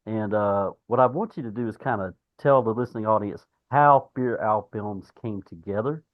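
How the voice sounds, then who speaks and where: A man hosting a podcast who asks rambling, relaxed questions and laughs along with his guests. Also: lowish voice, moderate pace, no echo, phone-quality sound. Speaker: podcast host. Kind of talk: casual interview questions.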